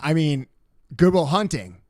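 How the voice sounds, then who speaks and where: A man's voice: fairly high pitch, big pitch swings, a little slow, darkish tone, no room sound. A man speaking as a comedian guest on a studio podcast, chatting casually and joking at his own expense. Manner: self-deprecating, joking. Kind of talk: casual chat.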